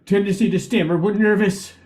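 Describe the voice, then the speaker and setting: A man's voice: high-pitched, fairly even intonation, brisk, a little mellow. A man reading the title and cast introductions for an amateur radio play. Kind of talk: scripted radio play announcing.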